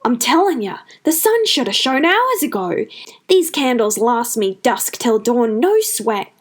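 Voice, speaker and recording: A woman voicing an excitable, squawking village man in a storybook narration. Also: a little high, a little slow, voice pushed up. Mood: insistent. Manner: squawking, emphatic.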